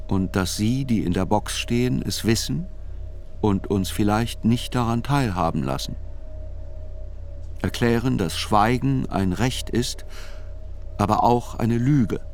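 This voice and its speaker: An actor as a sober chronicler, narrating a radio drama in reflective, deliberate literary phrases. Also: male voice, fairly low pitch, darkish tone, faint music behind. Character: sober male chronicler narrating a criminal trial.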